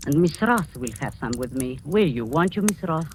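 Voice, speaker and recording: A woman's voice: sits low, animated, moderate pace. An actress playing a domineering, sinisterly sweet woman of sixty in 1940s radio style, coaxing and commanding. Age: 60s. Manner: coaxing, commanding, with soft knowing chuckles. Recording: noisy old 1940s radio recording.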